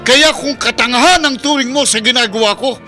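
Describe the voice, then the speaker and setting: A man's voice: very high voice, fairly quick, pushed and bright, soft background music. An actor playing a principled, earnest, reproachful man with a Filipino accent in a radio drama. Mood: impassioned, indignant. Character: principled, indignant man defending mistreated children.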